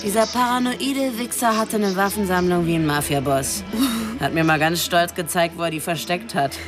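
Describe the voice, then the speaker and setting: An actress playing a tough, foul-mouthed prostitute turned killer in a radio drama, curt and commanding. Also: female voice, on the low side, normal speed, over music, natural voice. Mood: cocky, gloating.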